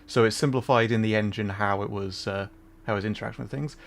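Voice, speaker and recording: A man's voice: on the low side, fairly even intonation, fast talker, gravelly. A man casually chatting on a gameplay livestream, laughing often and explaining game trivia. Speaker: streamer.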